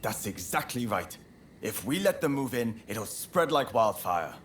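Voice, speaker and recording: An actor playing a fervent young samurai rebel haunted by grief, earnest and intense, in a video game cutscene. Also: male voice, fairly low pitch, sing-song, unhurried, fairly bright tone, slight background noise. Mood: conspiratorial, fervent.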